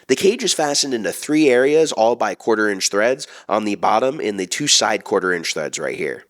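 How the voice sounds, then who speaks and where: Man with a raspy, low voice. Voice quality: deeper, raspier than usual. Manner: steady, informative explaining. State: raspy from the flu. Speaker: camera gear reviewer. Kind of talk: product review to camera.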